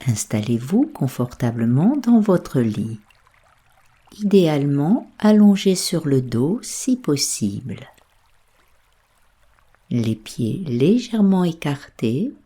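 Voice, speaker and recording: A woman guides a bedtime relaxation with a low, mellow voice. She speaks very slowly and softly, with breath in the tone. Her delivery is hushed and soothing, leaving space between instructions. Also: very animated, husky. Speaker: guided meditation teacher. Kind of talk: slow guided yoga nidra sleep meditation.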